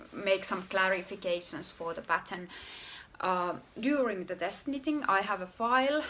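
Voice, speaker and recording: A woman from Finland with a Finnish accent, hosting her own podcast about her knitwear design work in an unhurried, casual way. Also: mid-range voice, sharp tone. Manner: unhurried, pausing often with fillers and restarts. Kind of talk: casual solo podcast talk.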